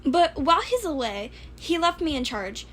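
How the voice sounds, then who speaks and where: A woman's voice: high voice, sing-song, normal speed, fairly bright tone, a little hiss or hum, voice pushed up. A woman voicing an earnest, quirky, skeptical teenage boy gadget builder, chatty and questioning, in a story reading. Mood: earnest, wary.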